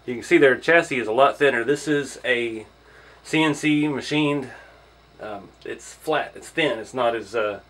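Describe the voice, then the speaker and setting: A man's voice: medium pitch, fairly bright tone. A man giving an informal hands-on first look as a hobby reviewer, thinking aloud as he goes. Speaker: hobby vlogger reviewing an RC truck.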